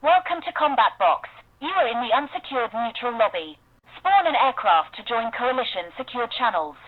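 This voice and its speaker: A woman's voice: fairly high pitch, big pitch swings, normal speed. A woman's automated announcer voice giving notifications through a radio filter. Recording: thin phone-like radio channel.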